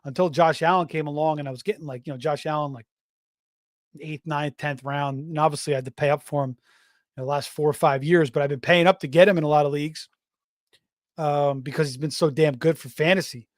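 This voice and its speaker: Middle-aged male stream host, slightly raspy from a cold, rambly and casual, somewhat high voice, dry close mic. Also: fairly even intonation, fairly quick, no room sound. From Florida. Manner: rambling, tangents, frequent fillers and restarts. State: slightly hoarse, voice going raspy. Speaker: fantasy football show host. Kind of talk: casual live-stream sports talk.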